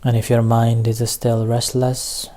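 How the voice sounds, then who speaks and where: A man leading a guided meditation as a teacher, speaking gently and haltingly in short phrases with long pauses. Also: mid-range voice, monotone. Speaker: Buddhist meditation teacher leading a group session. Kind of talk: guided meditation instruction, unscripted.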